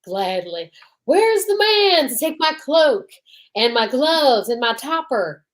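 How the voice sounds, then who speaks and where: Woman as pompous, high-voiced tipsy gentleman photographer. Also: very animated, slow, full character voice. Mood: grand, whimsical. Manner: pompous, flowery, theatrical. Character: eccentric, tipsy, grandiose male photographer, flowery and theatrical. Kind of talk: old-time radio comedy-detective acting.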